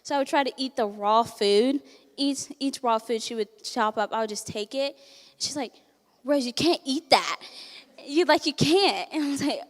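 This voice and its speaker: A woman's voice: high voice, moderate pace, long reverb tail. A teenage girl, not a native English speaker, giving a candid personal speech at a banquet, laughing often and acting out what people said. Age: teens. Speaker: resident giving a testimonial.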